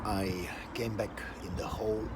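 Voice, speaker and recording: A man telling a personal story as a deliberate, dramatic monologue in short emphatic phrases, with a scornful edge. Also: on the low side, fairly even intonation. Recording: loud outdoor traffic-like ambient noise.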